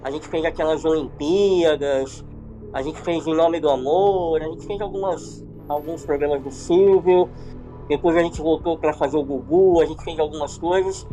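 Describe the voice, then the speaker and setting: A middle-aged man tells his career stories in a loose, rambling way. His voice sits a little high, with faint music underneath. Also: normal speed, poor audio. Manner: relaxed, rambling storyteller. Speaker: TV producer and director, guest. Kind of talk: casual podcast interview.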